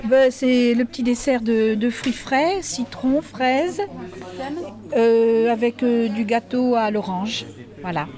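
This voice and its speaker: Mellow-voiced woman kitchen volunteer. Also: a little high, slow. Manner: brief, affirming.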